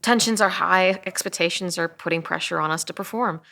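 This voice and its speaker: A woman playing an earnest, empathetic police detective at a drama table read, measured and sometimes hesitant. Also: lowish voice, creaky, pushed and bright. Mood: calm, probing.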